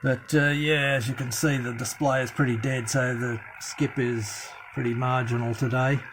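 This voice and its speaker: A man giving a casual, hands-on CB radio hobby demonstration in a halting, matter-of-fact way, recorded on a handheld phone. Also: medium pitch, little pitch movement. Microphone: handheld phone camera. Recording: noticeable background noise, handheld phone recording.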